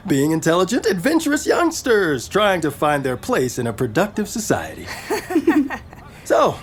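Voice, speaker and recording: An actor playing a friendly, wry army captain in an audio drama, with a playful, theatrical delivery. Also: male voice, high-pitched, wildly swooping pitch, measured pace, a little hiss or hum, exaggerated voice. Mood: amused, friendly. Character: jovial, wry military captain, food-loving and friendly.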